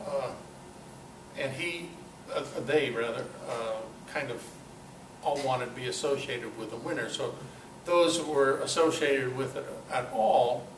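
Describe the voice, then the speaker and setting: An older man giving an informal, halting talk to a club, with a self-deprecating, dryly humorous manner. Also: mid-range voice. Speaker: guest speaker at a club meeting. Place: club meeting room, indoors. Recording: roomy, distant mic, noisy amateur recording.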